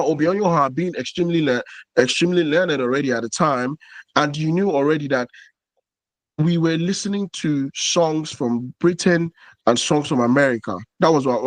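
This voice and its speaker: A man's voice: fairly high pitch, dry recording. Man explaining music history in a dry room. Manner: explanatory, earnest, many fillers. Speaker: podcast co-host. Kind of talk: casual podcast discussion.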